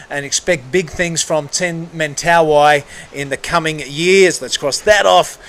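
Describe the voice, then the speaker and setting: A man's voice: a little high, a bit bright. A man hosting live surf coverage in a casual, matey, enthusiastic way. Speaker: surf broadcast commentator and host. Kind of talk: casual live sports commentary.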